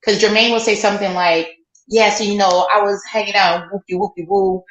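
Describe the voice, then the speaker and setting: A woman's voice: medium pitch, steady tone, brisk. A woman co-hosting a casual sports podcast, chatting and plugging events with loose asides. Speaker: podcast co-host. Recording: roomy echoey sound.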